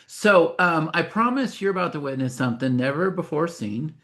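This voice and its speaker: A man's voice: fairly high pitch, lively intonation, moderate pace, fairly bright tone. A man of fifty giving an informal sales presentation as a webinar host, talking conversationally with folksy asides.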